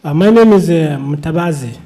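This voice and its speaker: A man from Uganda, his voice a little high and dark-toned. He answers in brief, hesitant phrases. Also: expressive, brisk. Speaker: convert at a public event.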